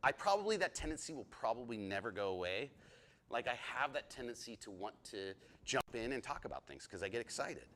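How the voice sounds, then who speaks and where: Casting: thirties man, group teacher, eager and talkative, average pitch. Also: a little fast. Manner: excitable, talks a lot, asks the group questions. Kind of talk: interactive leadership lesson.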